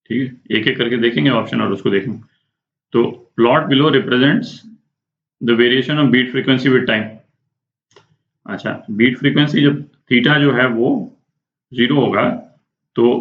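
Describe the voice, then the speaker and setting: An Indian man teaches like a physics tutor working through a problem aloud. He explains informally, often checking in and asking himself questions. The sound is roomy and somewhat muffled. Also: mid-range voice. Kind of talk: spoken lesson working through a problem step by step. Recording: echoey room, dull muffled sound.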